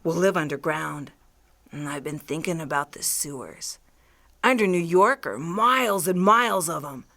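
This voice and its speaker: A woman's voice: lowish voice, a bit bright. A woman playing a fanatical, scheming artillery woman in an audio drama about an invasion, talking in a cocky, folksy, ranting way. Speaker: acting class student.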